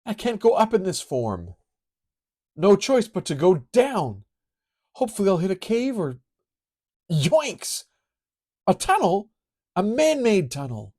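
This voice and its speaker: A man voicing an excitable young woman who falls through the ground in a comic book reading. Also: takes it slowly, long pauses, exaggerated voice. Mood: startled. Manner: breathless, high, exclaiming. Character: startled young woman phasing underground, excitable.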